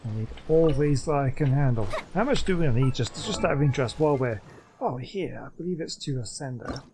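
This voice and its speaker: A man's voice: medium pitch, lively intonation, brisk, background noise. A man giving casual gameplay commentary, thinking aloud and muttering to himself as he plays. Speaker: gaming host. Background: video game sound.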